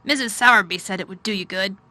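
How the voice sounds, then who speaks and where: A woman voicing a troubled, fretful middle-aged gentleman in a storybook reading; loud, weary and distracted. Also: medium pitch, fast talker, dry recording.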